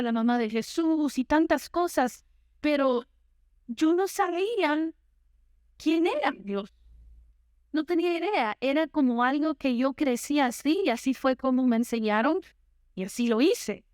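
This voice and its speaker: High, clear-voiced woman preaching. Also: no echo, clean studio sound. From Guatemala. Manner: warm storytelling, mixes in English words. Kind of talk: sermon with personal story. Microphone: handheld or headset mic, unfamiliar to her.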